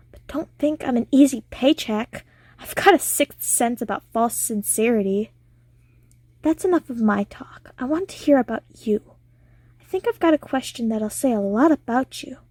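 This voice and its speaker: Rich ageless alien widow acted by a woman, mellow yet highish voice, self-assured, flirty, dry close recording. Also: no echo. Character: wealthy, long-lived alien widow, confident and flirtatious. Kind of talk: audio roleplay monologue.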